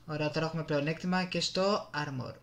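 A man with a Greek accent doing casual live gameplay commentary, excitable, exclaiming and muttering to himself into a desktop gaming microphone. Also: fairly high pitch, creaky. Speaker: gaming YouTuber.

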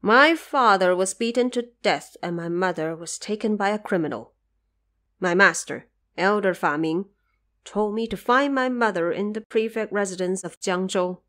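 A woman's voice: medium pitch, normal speed, fairly bright tone, close to an everyday voice. Humble young monk, earnest, pleading, audio drama, voiced by a woman. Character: eighteen-year-old male monk, earnest and grieving.

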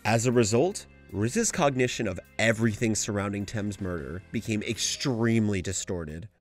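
A man's voice: on the low side, expressive, moderate pace, faint music behind. A man narrating a scripted video essay analysis.

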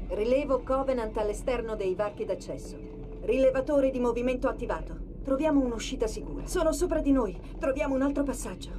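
A woman voicing a calm, precise military AI companion with dry wit in a video game. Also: on the high side, a little fast, music in the background, close to an everyday voice. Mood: composed, urgent. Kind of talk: video game voice acting, tactical briefing.

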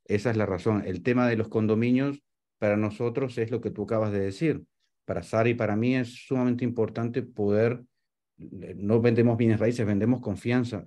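A man's voice: fairly low pitch, dark tone. A middle-aged man from Venezuela and Uruguay, a real estate advisor giving closing remarks as host on a webinar video call. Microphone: video call microphone.

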